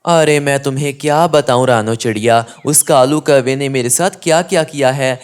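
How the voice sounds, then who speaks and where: A man's voice: medium pitch, normal speed, clear, resonant tone, close to an everyday voice. Timid, plaintive cartoon pigeon played by a man. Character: timid, pleading male pigeon. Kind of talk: cartoon story dialogue.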